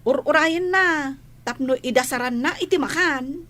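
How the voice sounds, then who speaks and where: A woman's voice: sits high, moderate pace, fairly bright tone. A woman playing a blunt, grumbling household helper in a radio comedy, with an Ilocano accent. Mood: annoyed. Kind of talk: radio comedy drama acting.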